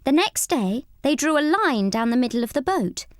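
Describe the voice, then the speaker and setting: Animated high-voiced woman storyteller. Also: moderate pace, no echo, clean studio sound. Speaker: storybook narrator. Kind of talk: expressive scripted children's read-along narration.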